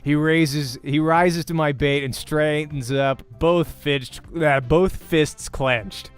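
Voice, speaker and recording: A man's voice: on the high side, fairly even intonation, slow, clear, resonant tone. A man hosting a let's play, reading visual novel narration aloud expressively like a voice actor. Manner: acts out dialogue while narrating. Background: game music.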